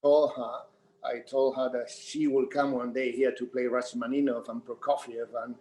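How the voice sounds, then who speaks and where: A middle-aged man with a Spanish accent, a theatre director answering in an unscripted Q&A, speaking English as a second language in halting, repeated phrases. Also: medium pitch, level tone, mellow, slight background noise, rough recording. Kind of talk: unscripted panel Q&A talk.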